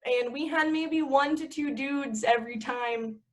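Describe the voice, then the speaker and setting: A young woman talks freely about her stage work in a relaxed podcast chat. Her voice sits a little above average in pitch. She rambles, stacks up filler words and laughs easily as she goes. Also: measured pace. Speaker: podcast guest, actress. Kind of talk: casual conversation.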